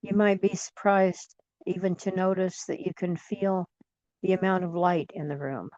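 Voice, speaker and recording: A woman leading guided imagery in a soothing, gentle manner, leaving long pauses between phrases. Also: lowish voice, steady tone, no room sound, highs cut off. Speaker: guided meditation leader.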